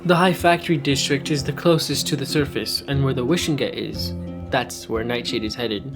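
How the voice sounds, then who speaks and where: A man's voice: medium pitch, steady tone, normal speed, music in the background. A man co-narrating a fiction podcast in a scripted, conversational storytelling style, with an informal manner.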